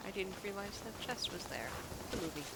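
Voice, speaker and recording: A woman's voice: mid-range voice, expressive, brisk. A woman livestreaming a video game with casual, wry, rambling commentary, mostly talking to herself. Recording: loud game music behind. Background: loud game music.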